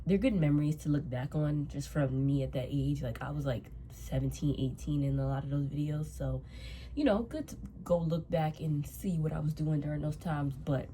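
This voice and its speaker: Low, stuffy-nosed woman in her twenties. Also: warm tone, a little hiss or hum. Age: 20s. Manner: rambling, repeats herself, loose slangy talk; doing her makeup while talking. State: stuffy nose from a cold. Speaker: vlogger. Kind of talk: casual storytime chat.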